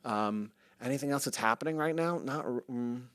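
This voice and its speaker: A man's voice: mid-range voice, moderate pace, fairly bright tone, clear, resonant tone, dry recording. A man from the US West Coast, a comedian guest chatting on a podcast in a studio, rambling and restarting his sentences. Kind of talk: casual podcast chat.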